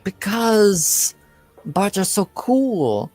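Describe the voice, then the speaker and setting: Tabletop game role: nosy, playful young noblewoman played by a man, impish and evasive, slow-paced, music behind. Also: high voice, very animated, a little mellow, voice pushed up, full character voice. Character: curious, playful young noblewoman, eavesdropping little sister type.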